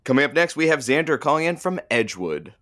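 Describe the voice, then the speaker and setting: An actor playing a brisk sidekick who announces callers on a radio show in a comedic audio drama. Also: male voice, fairly high pitch, very animated, bright, forceful tone, no echo, clean studio sound.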